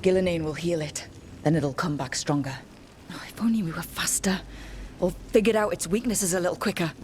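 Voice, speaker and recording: An actress playing a determined young fantasy hero, direct and resolute, in a video game. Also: female voice, fairly low pitch, brisk, a little mellow, a little hiss or hum, close to an everyday voice. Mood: urgent resolve.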